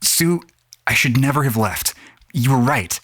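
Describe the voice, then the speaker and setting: A man's voice: medium pitch, lively intonation, measured pace, fairly bright tone, dry recording, clean studio sound. A man playing a jaded antigravity racing pilot, telling his story in a blunt, colloquial, world-weary way. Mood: pleading. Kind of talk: first-person audio drama narration and acting. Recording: voice over music. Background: music.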